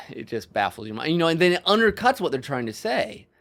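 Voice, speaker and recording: A man speaks as a podcast co-host in an informal discussion. His delivery is halting and exploratory, as he works out his thoughts aloud and often restarts mid-sentence. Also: on the high side, big pitch swings, fairly bright tone. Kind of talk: casual discussion of storytelling.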